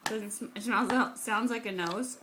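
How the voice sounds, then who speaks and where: A woman's voice: a little high, normal speed, very noisy. A young woman at home, teasing her small child with playful questions in a home video. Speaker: mother filming her toddler.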